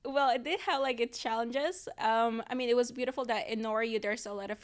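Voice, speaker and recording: A woman's voice: fairly high pitch, a little fast. A woman in her twenties from El Salvador, a native Spanish speaker, talking as a podcast guest in casual chat, hesitant, with many fillers and restarts. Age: 20s.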